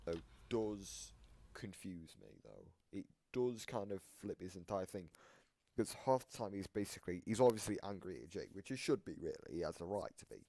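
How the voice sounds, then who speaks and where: A man's voice: low-pitched, moderate pace, mellow, dry recording. A man giving casual explanatory commentary as host of a reaction video, often restating his point.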